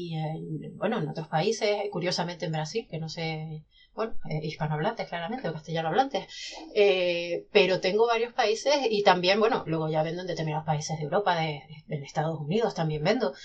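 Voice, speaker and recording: A woman's voice: on the low side, muffled recording. A woman, a self-published novelist, talking animatedly in a casual podcast conversation with many restarts.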